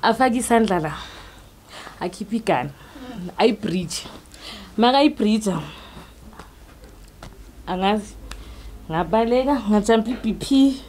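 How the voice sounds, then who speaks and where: A woman recounting events in an interview, mixing Zulu and English in halting sentences. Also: medium pitch, expressive, darkish tone, slight background noise. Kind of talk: interview testimony.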